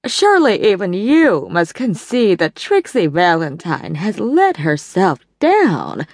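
A woman voicing a prim, judgmental middle-aged society lady with a slow, disapproving Boston drawl. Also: medium pitch, huge pitch swings, no room sound, heavily acted.

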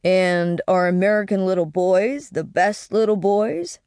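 A courteous American gentleman, mildly amused and kindly, read by a woman narrator. He speaks gently with a lightly wry turn. The sound is dry and close. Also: mid-range voice, unhurried, dry recording, close to an everyday voice.

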